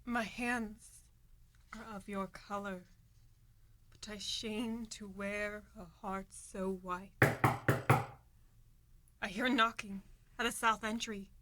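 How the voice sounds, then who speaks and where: A woman's voice: mid-range voice, fairly even intonation, slow, long pauses, noisy background, natural voice. A woman acting a scornful, scheming noblewoman in a Shakespearean radio play, speaking verse with commanding urgency. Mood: urgent, controlled. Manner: commanding, dramatic, urgent Elizabethan verse delivery.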